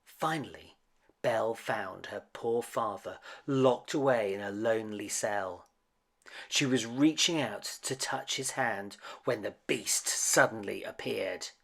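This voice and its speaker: A man narrates a children's storybook in an expressive, dramatic way. He takes it very slowly, with a gravelly creak in the voice, swelling for emphasis and dropping to a hush. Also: fairly low pitch. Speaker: storyteller reading a picture book aloud.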